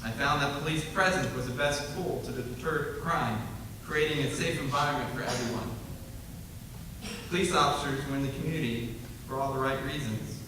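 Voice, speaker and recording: A man who is a police officer, giving a solemn, measured speech at a memorial ceremony. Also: mid-range voice, background noise. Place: large hall at a ceremony. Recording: echoey hall from far off.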